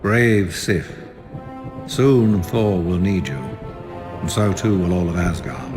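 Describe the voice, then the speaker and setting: An actor playing a stern, weary old king of the gods and commanding father, speaking gravely and formally in a video game cutscene. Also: deep voice, slow, music in the background. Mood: grave resolve. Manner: grave, authoritative, formal archaic phrasing.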